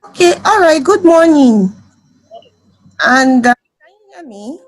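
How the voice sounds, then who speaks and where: Woman, workshop participant, video call, fairly high voice, polite, apologetic. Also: lively intonation, rough recording. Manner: polite, apologetic request to slow down. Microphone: computer mic on a video call.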